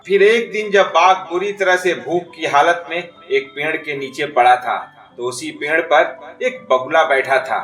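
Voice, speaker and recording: A man's voice: fairly high pitch, a little slow, a bit bright. A man narrating a scripted moral story for children as a storyteller. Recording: distant, echoey, music behind.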